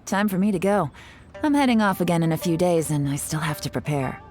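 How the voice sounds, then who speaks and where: A woman's voice: on the low side, expressive, a little fast, a little mellow, faint music behind, close to an everyday voice. A woman playing a sly, confident secret agent in a video game, poised and playfully knowing. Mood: teasing, assured.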